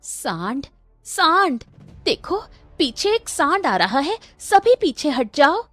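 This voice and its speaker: A woman's voice: sits high, very animated, slow, a bit bright, no echo, soft background music, voice pushed up, exaggerated voice. A woman voicing a shrewd village eatery owner in a cartoon, polite but pointed. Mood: alarmed shouting.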